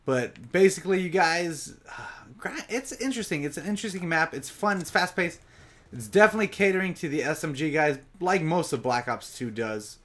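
A young man giving casual live commentary over his own gameplay, talking straight to his viewers, his voice a bit raspy from long sessions. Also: on the high side, animated, normal speed, a bit bright, slight background noise. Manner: chatty, casual, addresses viewers directly.

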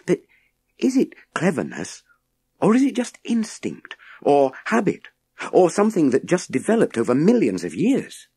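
Earnest, hesitant young boy played by an adult male narrator: raised pitch, lively swings, halting urgency, storybook delivery. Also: breathy edge, no room sound, heavily acted. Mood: earnest, thoughtful.

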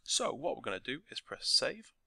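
Croaky man explaining game scripting. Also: mid-range voice, moderate pace, no room sound, studio quality. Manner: relaxed step-by-step explaining. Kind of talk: screen-recorded tutorial narration.

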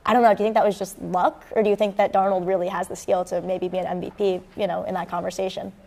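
Fast-talking young American woman talking sports. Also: medium pitch, level tone, gravelly, dark tone. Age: young adult, early 20s. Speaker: student sports show co-host. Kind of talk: conversational sports commentary. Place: indoor campus TV studio at a desk. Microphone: lapel mic.

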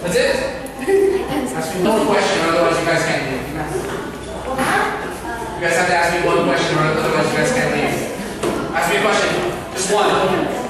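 A man's voice: on the high side, moderate pace, pauses often. Brief: young man from Taiwan, teasing and coaxing, reverberant hall sound. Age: 20s. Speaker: navy sailor visiting a class. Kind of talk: playful classroom chat. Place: school classroom, indoors. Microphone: far camera. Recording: echoey classroom from far off, loud noise.

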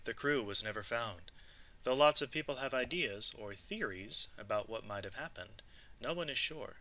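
A man reads an educational storybook aloud in a medium-pitched voice. His tone is strikingly bright and forceful. He emphasises phrases clearly and expressively, like material for a lesson. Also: animated, moderate pace, poor audio. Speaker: read-aloud narrator. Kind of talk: educational storybook narration with vocabulary asides.

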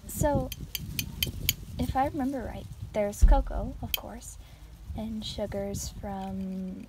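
A woman playing a friendly, absent-minded part-dragon traveler in an ASMR roleplay, soft-spoken, chatty and musing. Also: medium pitch, takes it slowly, close to an everyday voice. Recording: faint noise, clicking sounds, outdoors.

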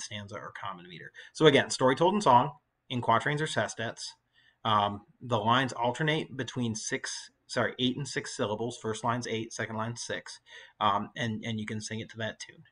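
Guy, middle aged, teaching english class online, bright punchy voice, chatty and kinda halting. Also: medium pitch. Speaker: high school English teacher. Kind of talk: informal recorded poetry lesson, explaining and reading aloud.

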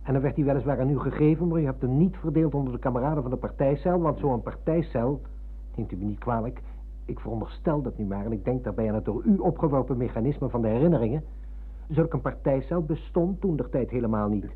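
A man playing a polite, insistent, probing middle-aged visitor in a radio drama, with a husky voice. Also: medium pitch, fast talker, natural voice. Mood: coolly accusing. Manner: formally courteous, insistent. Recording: muffled, narrow-band old radio recording.

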